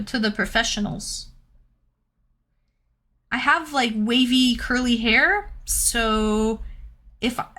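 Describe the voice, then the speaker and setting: Bright-voiced chatty Canadian woman streamer. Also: fairly high pitch. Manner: chatty, reads out viewer comments. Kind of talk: casual chat while painting.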